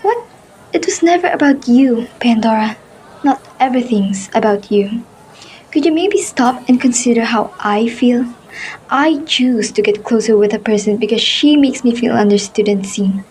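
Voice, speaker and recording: A woman's voice: a little high, normal speed, darkish tone, a little hiss or hum, close to an everyday voice, rough recording. A woman acting an indignant, easily hurt young fairy in an amateur roleplay drama, with an emphatic, dramatic delivery. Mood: hurt, angry.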